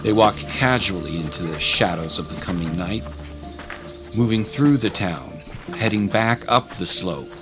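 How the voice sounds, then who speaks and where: A man's voice: low-pitched, measured pace. A man narrating a scripted dramatic story as an audiobook narrator. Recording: music under the voice.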